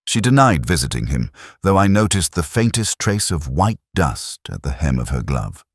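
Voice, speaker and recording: A man performs as a melancholy male detective telling his case in the first person. The voice is extremely low, with lively rises and falls in pitch. The delivery is measured, reflective and literary, like a scripted mystery drama, in a dry recording. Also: husky, dry recording, full character voice. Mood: brooding, watchful.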